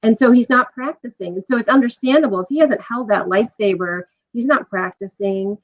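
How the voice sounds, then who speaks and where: Brief: female professor guest, slightly high voice, patient explainer, dry dull audio. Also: brisk, dry recording. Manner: explanatory, teacherly. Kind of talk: casual podcast discussion.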